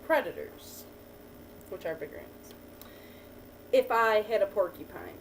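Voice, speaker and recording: A woman teacher reading a picture book aloud to a child, pausing to ask questions and praise the answers. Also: mid-range voice, huge pitch swings. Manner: patient, encouraging, asks and praises. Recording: distant mic with faint background noise.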